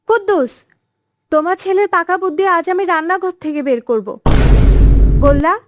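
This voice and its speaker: A woman voicing an exasperated, threatening mother in a comedy cartoon, scolding with a sharp tongue. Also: very high-pitched, moderate pace, over loud music. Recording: noisy track with clicks.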